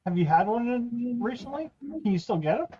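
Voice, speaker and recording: A man's voice: very high-pitched, sing-song, fairly quick, highs cut off, a little hiss or hum. A man in his forties on a call, asking brief curious questions. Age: 40s. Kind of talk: casual chat.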